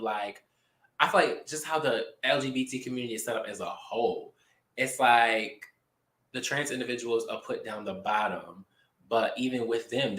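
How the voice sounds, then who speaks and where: A man co-hosting a casual talk show, talking in an animated, expressive way with drawn-out emphasis. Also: mid-range voice. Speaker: podcast co-host. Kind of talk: casual chat. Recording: echoey room.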